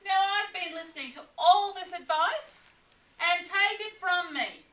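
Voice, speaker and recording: A bossy, fussy male echidna from a picture book, as a woman puts him on, talking high and whistly with loud emphasis. The voice is bright and pushed. It rings in an echoey room, a little off the mic. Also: unhurried, far from the mic, full character voice.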